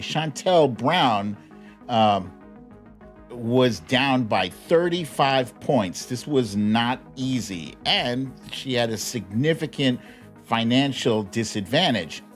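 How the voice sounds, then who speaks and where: Male livestream host, wry and informal news commentary, highly animated intonation, slightly slow delivery, music underneath. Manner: informal, wry, chuckles often while talking. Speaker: live show host. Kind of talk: casual comedic political news commentary livestream.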